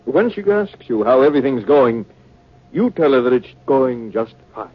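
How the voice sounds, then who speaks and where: An actor playing a courteous, authoritative university dean in measured, formal 1950s radio drama style. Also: male voice, mid-range voice, sing-song, heavy background noise, lightly acted. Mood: intrigued, cordial. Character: courteous, probing male university dean of science. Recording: muffled 1950s radio recording.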